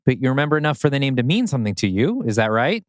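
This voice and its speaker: A man's voice: medium pitch, sing-song, racing, no room sound, studio quality, close to an everyday voice. A man in a dramatic script reading, playing an anguished, bitter father in his thirties who questions intensely and pointedly. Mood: bitter, accusing. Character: anguished 39-year-old father, bitter and accusing.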